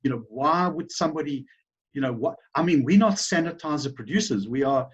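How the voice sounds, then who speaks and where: A man's voice: a little high, lively intonation, brisk, breathy edge. A middle-aged man with a South African accent, telling his story as a guest in an interview, rambling with many fillers and restarts. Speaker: business founder, guest.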